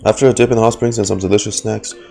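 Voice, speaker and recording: A man's voice: lowish voice, steady tone, very fast, creaky, mellow, faint music behind. A man reacting to a video game on stream in casual, slangy remarks and short muttered interjections. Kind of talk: casual live reaction commentary.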